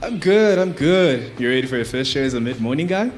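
A man playing an eager, suspicious young radio station colleague in a live radio drama, informal and excitable. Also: mid-range voice, fairly quick, soft background music, natural voice. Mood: friendly.

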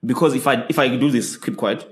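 A man from Zimbabwe talking in a casual interview, animated and often restarting his sentences. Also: medium pitch, brisk. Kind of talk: casual conversation.

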